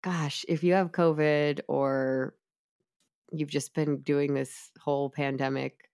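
A woman with a hoarse, tired voice, chatting casually as a podcast co-host and laughing often. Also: deep voice, level tone, takes it slowly, a little mellow. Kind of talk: casual chat.

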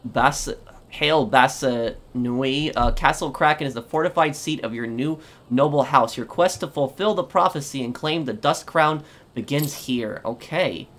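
A man's voice: mid-range voice, measured pace, a bit bright. Man's hesitant gaming commentary. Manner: hesitant, thinking aloud. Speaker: gaming YouTuber. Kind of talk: casual let's play commentary.

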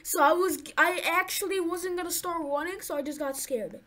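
A woman's voice: extremely high pitch, moderate pace, pushed and bright. A woman giving nervous, jumpy commentary to her viewers while playing a horror game. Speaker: gaming YouTuber.

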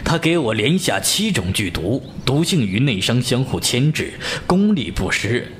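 An actor playing a seasoned, urbane middle-aged martial-arts master in a wuxia audio drama, speaking in a measured, avuncular, theatrical way. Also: male voice, mid-range voice, sing-song, fairly bright tone, a little hiss or hum. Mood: weary, resigned. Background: music.

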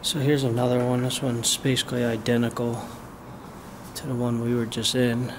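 Flat-voiced man exploring an empty jail. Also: moderate pace. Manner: low-key, conversational, pointing things out. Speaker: urban explorer host. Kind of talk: casual walkthrough narration. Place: large empty jail building indoors. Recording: camera audio with steady background noise.

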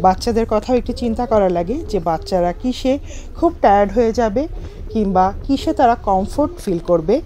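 A woman's voice: mid-range voice, fairly quick, dark tone. A woman in her thirties with a Bengali accent, chatting to viewers from a moving car while narrating her road trip. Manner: chatty, addresses viewers directly. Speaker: travel vlogger. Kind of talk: casual travel vlog narration.

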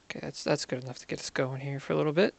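Gravelly man muttering over gameplay. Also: medium pitch, fairly even intonation, very fast. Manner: muttering to himself, counting under breath, half-whispered asides. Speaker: gaming video creator. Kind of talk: casual gameplay commentary.

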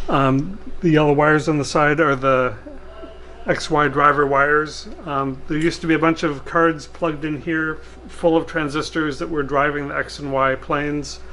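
A middle-aged man with a slightly high voice explains technical material informally. His delivery is halting and hesitant. Some room noise sits behind him. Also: flat delivery, fairly bright tone. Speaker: guest expert. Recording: clear background room noise.

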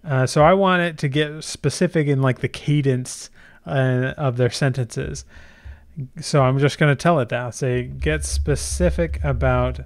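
A middle-aged man hosting a casual software tutorial, explaining conversationally and thinking aloud as he goes. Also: medium pitch, measured pace. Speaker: tutorial host.

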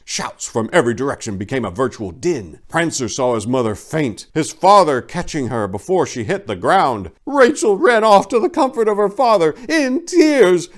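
A man in his forties giving a theatrical, mock-earnest dramatic reading of a comic romance story, recorded indoors on a lapel mic. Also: fairly high pitch. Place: indoors, book-lined room.

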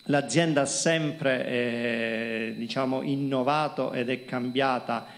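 A middle-aged man from Calabria giving a halting talk to students at a lectern, with many fillers and repeated words, into a handheld microphone in a conference hall. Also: medium pitch, steady tone. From Calabria, Italy. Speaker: company executive presenting to students. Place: conference hall with projector screen.

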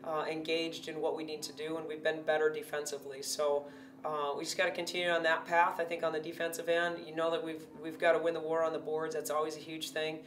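A woman in her forties, a head basketball coach, giving a steady, measured post-game interview with many fillers. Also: low-pitched. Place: indoor press backdrop. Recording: roomy, distant, faint hum.

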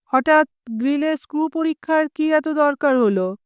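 A busy middle-aged father, as a woman narrator plays him. He asks short, pointed questions in a slightly raised voice. The reading is crisp and dry. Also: high voice, no room sound, pitched above the performer's own voice, close to an everyday voice. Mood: questioning. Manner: brisk, questioning.

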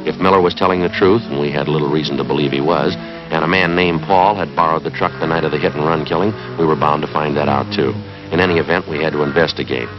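A man's voice: extremely low pitch, steady tone, quick pace. An American actor in his thirties playing a terse, methodical, fair-minded police sergeant in a 1950s TV drama, speaking in a clipped, matter-of-fact way. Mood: deadpan. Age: 30s. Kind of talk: 1950s TV police drama acting and scripted narration. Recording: muffled 1950s TV soundtrack, music underneath.

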